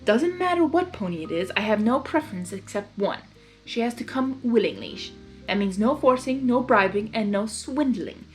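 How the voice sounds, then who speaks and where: A woman's voice: a little high, lively intonation, faint music behind, natural voice. A woman voicing a mischievous male chaos spirit from a storybook, smug and theatrical, drawing out his persuasive offers. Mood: persuasive, mock reasonable. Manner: theatrical, drawn-out persuasive delivery.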